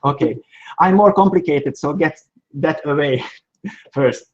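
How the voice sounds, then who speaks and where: Male guest, 40s, halting English, dull lo-fi line. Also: fairly high pitch, a little hiss or hum. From Slovakia. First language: Hungarian. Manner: halting, plain English with small grammar slips. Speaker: guest, sourcing expert.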